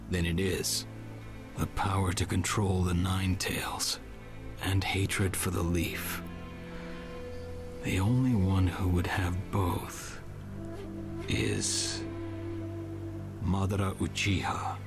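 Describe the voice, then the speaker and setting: Game role: level-headed adult ninja mentor, deep register, relaxed measured delivery, music bed. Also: male voice, creaky, full character voice. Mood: pensive. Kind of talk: English video game dub acting. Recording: music under the dialogue.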